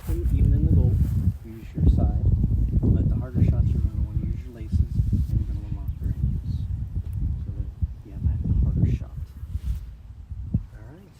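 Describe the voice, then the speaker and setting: Soft-voiced man coaching kids outdoors. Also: mid-range voice, a little fast, long pauses, narrow, tinny audio. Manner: instructing players, relaxed and informal. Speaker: youth soccer coach. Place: outdoor sports field. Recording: loud outdoor noise on a field.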